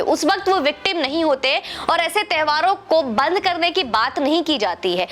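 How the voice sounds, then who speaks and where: A woman hosts an opinion video in a high-pitched voice. She speaks in an indignant, sarcastic way, pressing her points with rhetorical questions. There is some background noise on the recording. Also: a bit bright. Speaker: host of a political opinion video channel. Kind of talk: opinionated political commentary to camera.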